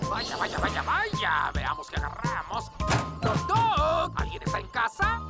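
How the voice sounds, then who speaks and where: A woman's voice: fairly high pitch, wildly swooping pitch, takes it slowly, full character voice. A woman voicing a mocking, scheming cartoon wolf villain in Latin American Spanish, with theatrical, taunting delivery over children's music. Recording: loud children's music behind.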